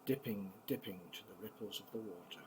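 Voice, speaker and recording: An older man, a grandfather, reading children's poems aloud in an expressive, theatrical bedtime style. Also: on the low side, brisk, background noise.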